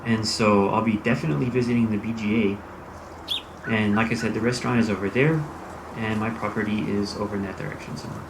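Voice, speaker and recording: A man's voice: lowish voice, steady tone, fast talker, vocal fry. An American man thinking aloud as he narrates a role-play farming game. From United States. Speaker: gaming channel host. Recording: loud vehicle engine noise behind. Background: game engine and vehicle sounds.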